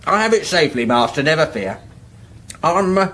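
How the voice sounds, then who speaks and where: Comic fantasy dwarf, high voice, lively swings, gluttonous, cheeky, chatty, self-deluded. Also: male voice, normal speed. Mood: boastful, chatty. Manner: cheeky, matter-of-fact, boastful. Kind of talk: comic radio fantasy drama acting.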